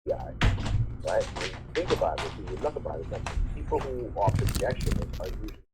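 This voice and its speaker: A man's voice: sits low, sing-song, a little fast, noisy background. A man giving informal, emphatic commentary on news articles as a live stream host, often checking for agreement with short tag questions.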